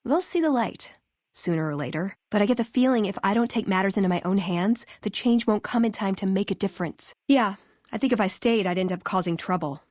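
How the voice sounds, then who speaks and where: A woman's voice: mid-range voice, fairly quick, a little mellow, no echo, studio quality, natural voice. An American woman playing a wry, earnest young scribe in a video game, speaking in a conversational way. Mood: troubled, regretful. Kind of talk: video game character dialogue.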